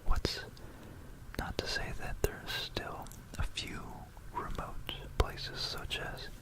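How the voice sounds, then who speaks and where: An ASMR host speaking in a breathy, unvoiced whisper, with a gentle, rambling, hesitant bedtime delivery in an informal style. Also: takes it slowly, rough recording. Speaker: ASMR video creator and host.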